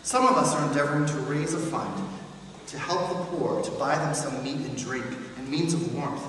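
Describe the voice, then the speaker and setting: Guy playing polite solemn gentleman asking for charity, formal, echoey hall, distant mic. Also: on the high side, lively intonation, noisy background, close to an everyday voice. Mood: solemn, courteous. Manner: formal, measured appeal. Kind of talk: dramatic stage reading.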